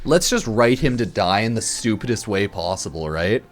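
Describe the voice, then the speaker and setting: A slightly drunk man giving casual, sarcastic game commentary on a stream, blunt and quick to swear. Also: fairly low pitch, sing-song, slight background noise. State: a bit drunk.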